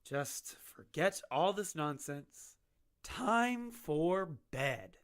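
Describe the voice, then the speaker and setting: A woman's voice: low voice, lively intonation, very slow, full character voice. A woman playing an awkward, chatty young male doctor who rambles and pokes fun at himself. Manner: rambling, self-deprecating and chatty. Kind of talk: audio drama acting.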